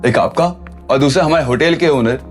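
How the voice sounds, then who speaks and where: An actor playing a polite, formal hotel attendant in an audio drama, speaking deferentially. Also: male voice, fairly high pitch, a little fast, soft background music, natural voice. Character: courteous, formal hotel attendant guiding a guest. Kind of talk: Hindi audio drama acting.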